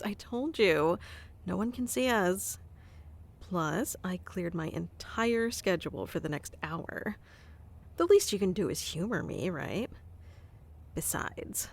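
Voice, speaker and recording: A woman doing a soft-spoken ASMR roleplay, teasing and commanding yet doting, close to the mic. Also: mid-range voice, gravelly. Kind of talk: ASMR roleplay, playing a bossy affectionate boss.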